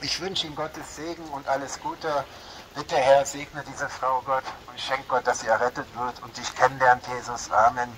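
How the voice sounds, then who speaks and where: A man's voice: mid-range voice, level tone, normal speed, fairly bright tone. A man from Berlin with a light Berlin accent, preaching a fervent open-air sermon on a shopping street and often saying "ja" and "Halleluja". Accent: light Berlin German. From Berlin, Germany. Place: outdoor shopping street under a small roof, rain. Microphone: small loudspeaker setup. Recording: noisy outdoor street ambience.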